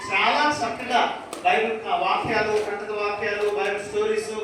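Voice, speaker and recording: A man's voice: sits high, little pitch movement, brisk. A man speaking as a ventriloquist and straight man in a live children's puppet show, reassuring and often putting questions to the children. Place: large church hall with children's audience. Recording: echoey hall, distant mic, noisy crowd.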